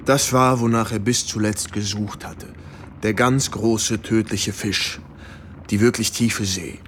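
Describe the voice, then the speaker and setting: An actor playing a bitter, haunted war reporter in a radio drama, brooding and halting, with a husky voice. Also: male voice, lowish voice, steady tone, a bit bright, slight background noise. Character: bitter, haunted American war reporter recalling a lost battle. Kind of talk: modern German radio drama dialogue.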